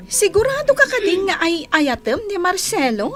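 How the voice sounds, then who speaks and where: A woman's voice: very high-pitched, very animated, normal speed, music in the background. An actress playing a concerned, supportive girl best friend in a radio drama. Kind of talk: Philippine radio drama acting.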